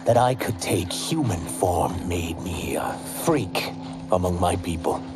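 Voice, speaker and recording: An actor playing a remorseful reptilian shapeshifter and outcast who speaks in an earnest, subdued way. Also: male voice, deep voice, animated, slow pace, over music.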